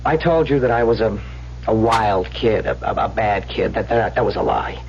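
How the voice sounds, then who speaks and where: An actor playing a small-time swindler pleading with his sweetheart in an old radio drama, speaking in halting, repetitive street slang. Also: lowish voice, animated, vocal fry. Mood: bitter confessing. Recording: dull, hissy vintage radio recording with hum.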